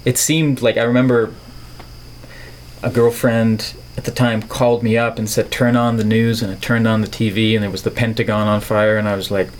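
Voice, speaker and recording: A man's voice: fairly low pitch, little pitch movement, moderate pace, a little hiss or hum. A middle-aged man from the Netherlands with a neutral American accent, talking reflectively and playfully as an interview guest, with wordy turns of phrase. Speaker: podcast guest, artist and filmmaker.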